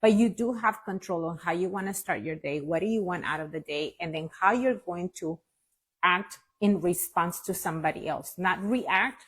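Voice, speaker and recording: Breathy woman host, thinking aloud. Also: lowish voice, normal speed, fairly bright tone. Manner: reflective, thinking aloud with fillers. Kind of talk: casual interview talk.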